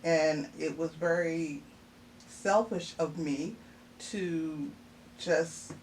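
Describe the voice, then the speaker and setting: A woman's voice: low voice, very slow, darkish tone. A middle-aged woman, a mother, talking casually and reflectively on a podcast, laughing often and using many fillers. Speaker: guest, mother of the host. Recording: distant mic with faint noise.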